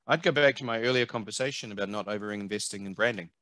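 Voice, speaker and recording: New Zealand man, low and animated. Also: quick pace, no room sound. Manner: animated, emphatic, repeats words while thinking. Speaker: guest, marketing consultant. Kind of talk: conversational interview. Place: home office, video call. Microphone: headset mic.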